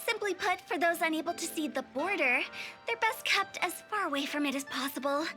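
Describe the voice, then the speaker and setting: Very high-pitched, playful young funeral director. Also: female voice, animated, brisk, bright, forceful tone, soft background music, full character voice. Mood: earnest, explaining. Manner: playful, theatrical, chatty. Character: cheerful, eccentric young female funeral parlor director. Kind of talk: anime game voice acting.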